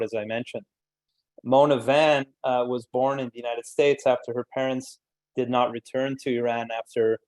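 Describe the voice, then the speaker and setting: A man hosting a panel discussion, introducing guests and putting questions in measured, halting phrases. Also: medium pitch, a little mellow, rough recording. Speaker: panel host and moderator.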